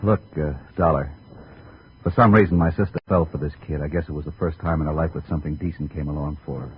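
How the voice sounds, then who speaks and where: An American actor playing a blunt, protective, menacing brother in a 1950s radio crime drama. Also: male voice, a bass voice, steady tone, fast. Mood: grim, explaining. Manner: blunt, hard-boiled. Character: gruff, protective, menacing tough-guy brother. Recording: tinny, noisy old radio recording.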